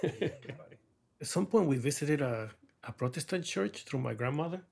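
A middle-aged man from Mexico with a Mexican accent, an artist talking thoughtfully in a documentary interview, pausing often with fillers and soft laughs. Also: fairly high pitch, animated, vocal fry, breathy edge.

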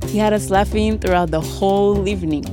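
A woman's voice: mid-range voice, steady tone, brisk, music in the background. A woman narrating a show from a script in a conversational style.

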